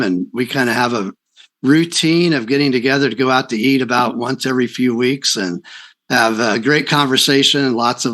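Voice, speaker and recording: A man's voice: medium pitch, sharp tone. A man hosting a podcast in a casual, conversational interview style. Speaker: podcast host.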